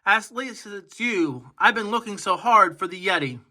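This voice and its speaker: Man voicing an eager, high-pitched boy adventurer. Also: normal speed, exaggerated voice. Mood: hopeful. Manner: exclaiming, excitable. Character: eager, determined, polite young boy adventurer.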